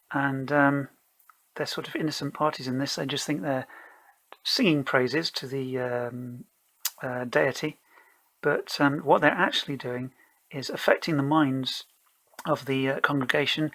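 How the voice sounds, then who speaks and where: A solo tabletop gamer narrates in a medium-pitched voice. The delivery is improvised and halting, with the player mulling over each turn of the story aloud. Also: a bit bright, rough recording. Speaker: solo role-playing game player and narrator.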